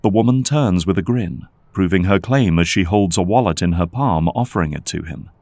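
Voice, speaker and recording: A man's voice: low-pitched, normal speed, a little mellow, no room sound. A man giving scripted, dramatic narration as the narrator of an audio drama.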